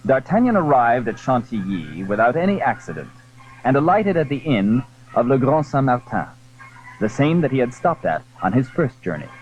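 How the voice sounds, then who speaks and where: A man narrating a literary adventure story in a measured, scripted storytelling style. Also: medium pitch, big pitch swings. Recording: dull lo-fi 1980s audiobook.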